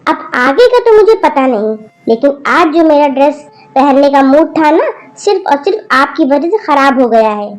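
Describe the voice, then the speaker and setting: A woman's voice: sits high, sing-song, moderate pace, low-quality recording. A woman voicing a spoiled college-age daughter who snaps and whines rudely at her mother. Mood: snapping. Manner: whiny, snapping, rude.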